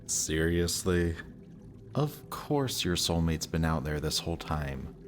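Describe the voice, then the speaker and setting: A man's voice: very deep voice, very animated, slow pace, a little hiss or hum, full character voice. A man playing a death god's smug, lovestruck young son in a romantic audio roleplay, talking casually and teasingly to the listener.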